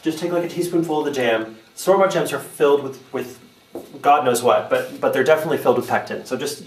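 A man hosting a home cooking video, talking viewers through a recipe in a relaxed, chatty way with fillers and restarts. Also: mid-range voice. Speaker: home cooking show host. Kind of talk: casual step-by-step cooking demonstration. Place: home kitchen. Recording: echoey room, distant mic, faint noise.

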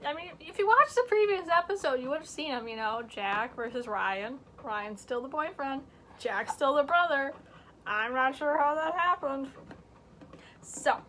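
Chatty high-voiced teen girl vlogger. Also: expressive, normal speed, a little hiss or hum, low-quality recording. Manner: chatty, joking, self-mocking asides; drops to hushed whispers while showing things up close. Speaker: vlog host. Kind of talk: casual chat. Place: indoor home room with shelves.

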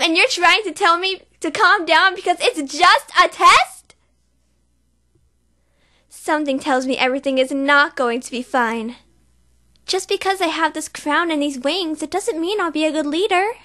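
A woman's voice: high voice, sharp tone. A woman voicing an earnest, studious young pony princess who frets and explains precisely. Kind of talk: fan voice reel impression.

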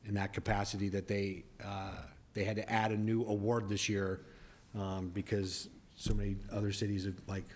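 A man chairing a city council meeting as mayor, relaxed and joking, with many fillers. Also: on the low side, moderate pace. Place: council chamber dais. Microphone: desk mic.

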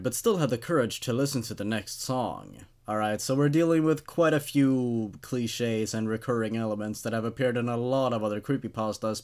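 A man in his twenties hosting and reading horror stories expressively, with dramatic delivery and casual, wry asides. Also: medium pitch. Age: 20s. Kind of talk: expressive story narration with casual commentary. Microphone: studio condenser microphone on a stand.

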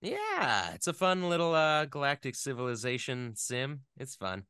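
A man speaking casually as an interview guest, rambling along with animated, geeky enthusiasm. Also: medium pitch, normal speed, clean tone, no room sound, clean studio sound.

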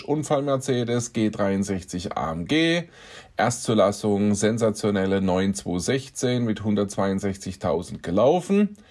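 A man's voice: medium pitch, a little mellow. Man reading scam messages, wry tone. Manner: dry, wry storytelling about scam messages. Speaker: car dealer and channel host. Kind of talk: casual narration, reading out messages.

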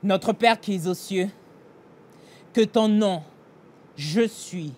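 A man's voice: sits high, slow, a little mellow. A middle-aged man pastor giving an earnest devotional meditation and prayer, speaking straight to the listener in a small indoor room. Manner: earnest, direct address to the listener, emphatic gestures. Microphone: facing a fixed camera, mid-shot.

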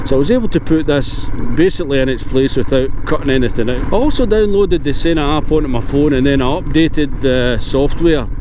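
A man's voice: on the high side, a little mellow. A middle-aged man giving a casual motorcycle product review, with road and outdoor noise behind him.

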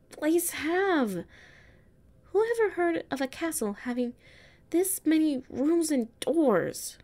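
High, soft, halting young heroine acted by a woman. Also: exaggerated voice. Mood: exasperated. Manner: hesitant, halting, muttering to herself. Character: weary, anxious human woman exploring a cold castle. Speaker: audio roleplay voice actor. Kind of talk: audio roleplay acting, solo monologue.

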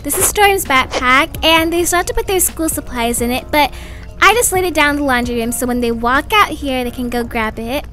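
Perky lady doing roleplay storytelling, super high voice, really animated, bit of music under it. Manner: perky, chatty, expressive. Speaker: storyteller voicing all characters in a roleplay video. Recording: faint music behind. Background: light background music.

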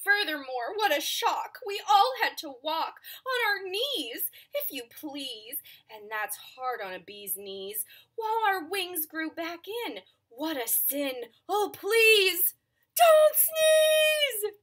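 A woman voicing a swarm of fussy bees in a storybook read-aloud, complaining in a squeaky high voice. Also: sing-song, slow, fairly bright tone, full character voice. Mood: fussy complaint.

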